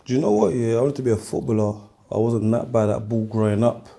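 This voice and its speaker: A man's voice: mid-range voice, fast, vocal fry, warm tone. A man with a South-East London accent chatting casually as a podcast guest, talking in slangy street style. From Woolwich, London; born in France. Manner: slangy, uses tags like "innit" and "you get me".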